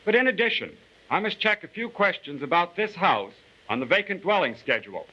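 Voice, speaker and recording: Census enumerator, 1940s instructional film: courteous, official, slightly high male voice, muffled vintage soundtrack. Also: very animated, pushed and bright, exaggerated voice. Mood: polite, businesslike. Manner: formal, deliberate, explanatory. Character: businesslike, polite male census enumerator questioning residents. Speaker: actor in a government training film. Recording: dull, lo-fi 1940 film soundtrack.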